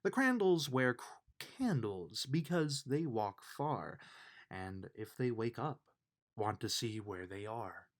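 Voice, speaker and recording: Slow, lively man reading a bedtime storybook. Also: mid-range voice. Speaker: reader of a children's book. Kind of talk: read-aloud of a rhyming bedtime storybook.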